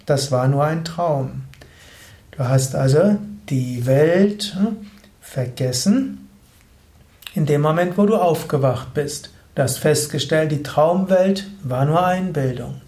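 A man giving a slow, measured spoken lesson on yoga philosophy, explaining with thoughtful pauses. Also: on the high side, a little mellow. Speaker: yoga teacher. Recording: roomy echo.

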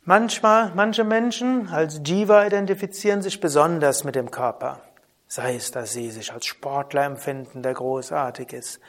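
A man teaching a spoken lesson on yoga philosophy in a calm, measured way, often asking a soft "hm?". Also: a little high. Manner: calm, measured, with frequent soft trailing drops and questioning "hm?". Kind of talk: spoken lesson on Vedanta terms.

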